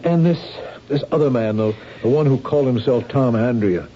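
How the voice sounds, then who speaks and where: An actor playing an earnest, protective, questioning husband in a 1970s radio drama. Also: male voice, mid-range voice, moderate pace, mellow, close to an everyday voice. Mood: grave, coaxing. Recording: dull radio recording with faint noise.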